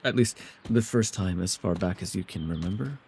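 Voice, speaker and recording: A man playing a mysterious, soft-spoken elf with a gently teasing, secretive way, in an immersive ASMR roleplay with hesitant, tender asides. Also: low voice, moderate pace, a little hiss or hum. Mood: gentle, warm.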